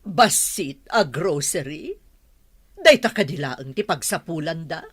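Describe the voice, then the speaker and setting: A woman's voice: low voice, lively intonation, unhurried, husky, exaggerated voice. A woman playing a suspicious, haughty mother of a grown son, scolding and nagging in an audio drama. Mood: disapproving. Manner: sharp, nagging, exclamatory. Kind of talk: melodramatic audio drama acting.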